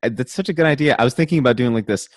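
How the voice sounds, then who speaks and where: A man's voice: medium pitch, rapid-fire, no echo, studio quality. A man in casual podcast chat, joking often and restarting his sentences. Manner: restarts and stammers, laughs a lot. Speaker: podcast co-host.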